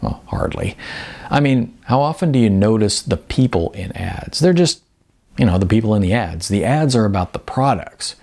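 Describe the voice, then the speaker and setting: A man's voice: lowish voice, expressive, moderate pace, vocal fry, a little mellow. A man voicing a good-natured, self-deprecating model in his forties, hesitant and full of ums, in an audiobook. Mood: wistful, modest. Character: modest, good-natured balding 40-something male commercial model. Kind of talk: audiobook character dialogue.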